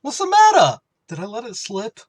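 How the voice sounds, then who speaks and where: A man's voice: very high voice, moderate pace, slightly rough, no echo, clean studio sound, full character voice. A man playing a dim-witted, blundering, friendly tavern regular in an old-time radio sitcom. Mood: excited, oblivious. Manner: dopey, tactless, excitable.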